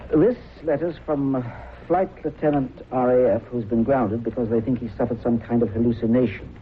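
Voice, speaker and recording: An actor playing a keen, curious reporter and husband in a vintage radio drama. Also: male voice, mid-range voice, fairly quick, lightly acted. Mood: absorbed, intrigued. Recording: noisy, narrow-band old radio recording.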